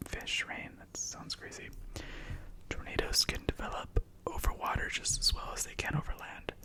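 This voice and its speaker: A man's voice: normal speed, rough recording. A man whose first language is Spanish, whispering numbered facts ASMR-style with casual chatty asides. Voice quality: soft unvoiced whisper. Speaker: ASMR host. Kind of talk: ASMR whispered fact reading.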